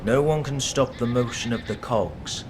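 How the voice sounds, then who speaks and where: A man voicing a grave, scheming scientist in a video game, speaking formally and deliberately, with music over the voice. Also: medium pitch, background noise, natural voice. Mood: solemn. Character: grave, scheming male scientist plotting a long-awaited final act.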